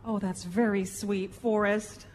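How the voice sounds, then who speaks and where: A woman's voice: medium pitch, flat delivery, unhurried, a little mellow, lightly acted. A woman playing a plucky, patient mother in a lighthearted radio play. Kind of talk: comedic live radio play acting. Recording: echoey theatre hall with audible noise.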